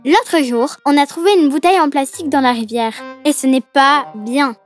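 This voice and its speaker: A woman's voice: high voice, normal speed, faint music behind, close to an everyday voice. A woman voicing a bossy, feisty little fairy girl in a children's cartoon, childlike and insistent. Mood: indignant. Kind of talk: dubbed children's cartoon acting.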